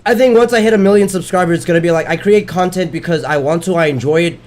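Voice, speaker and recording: A man's voice: high-pitched, fast. A man streaming a video game and chatting casually, his voice worn from daily streaming, rambling and correcting himself as he goes. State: losing his voice. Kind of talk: casual chat while gaming.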